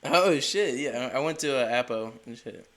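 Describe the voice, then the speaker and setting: A nineteen-year-old man from Delaware interviewing a rapper in a rambling, excitable way, full of "like". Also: medium pitch, normal speed. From Delaware, USA. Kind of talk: casual interview chat.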